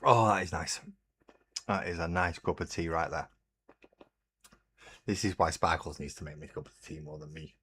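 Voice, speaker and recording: A man hosting a relaxed hobby livestream, chatting in a rambling way and greeting viewers while he paints. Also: a bass voice, moderate pace, pauses often, a little hiss or hum. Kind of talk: casual chat while painting. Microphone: desk mic on a webcam stream.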